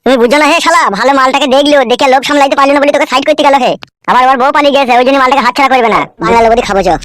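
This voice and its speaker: Man voicing crude scheming cartoon henchman, rural West Bengali accent, high-pitched, teasing, very fast talk. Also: fairly even intonation, fairly bright tone, no echo. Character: cheeky, scheming henchman, crude and foul-mouthed. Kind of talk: amateur comedy cartoon dub.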